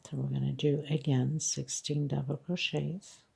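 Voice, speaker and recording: A woman's voice: low voice, little pitch movement, moderate pace, gravelly, dark tone, husky. A woman teaching crochet step by step, counting stitches patiently, her voice congested. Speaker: crochet instructor. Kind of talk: step-by-step craft tutorial.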